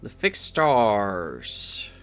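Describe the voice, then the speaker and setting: A man's voice: on the low side, huge pitch swings, drawn-out words, clear, resonant tone. Man muttering through gameplay commentary. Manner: mutters and repeats words while puzzling. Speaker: game streamer. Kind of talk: casual gameplay commentary, thinking aloud.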